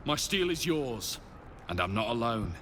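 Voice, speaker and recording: An actor playing an earnest military leader in a fantasy game, oratorical and stirring, rallying troops. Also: male voice, medium pitch, big pitch swings, measured pace, noisy background. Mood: firm welcome. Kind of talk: scripted rallying speech.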